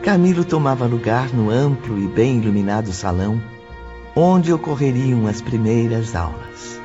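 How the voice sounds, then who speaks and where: A man's voice: medium pitch, lively intonation, normal speed, natural voice. A man narrating a spiritual audio novel as a formal, scripted storyteller. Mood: solemn. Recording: dull lo-fi audio under prominent music.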